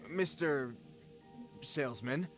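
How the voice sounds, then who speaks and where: An actor voicing a dim, deferential bandit in a video game, earnest and hesitant. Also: male voice, medium pitch, slow, lightly acted. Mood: nervous, apologetic. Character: dim, deferential treasure-hoarder bandit negotiating anxiously. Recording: music behind.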